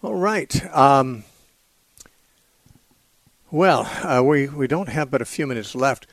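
A man's voice: mid-range voice, expressive, slow, no echo. A man hosting a call-in radio show, answering Bible questions thoughtfully with fillers and repeated words. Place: radio studio.

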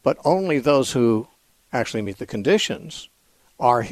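A man's voice: mid-range voice, animated, normal speed, no room sound. A man hosting a call-in radio show, answering Bible questions thoughtfully with fillers and repeated words. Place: radio studio.

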